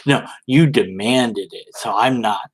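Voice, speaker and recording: A man's voice: mid-range voice, slow, breathy edge, rough recording. A man playing a haughty, self-righteous believer in a mocking dramatic reading, pompous and condescending. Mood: smugly certain. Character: haughty, self-righteous believer withholding proof from pesky skeptics.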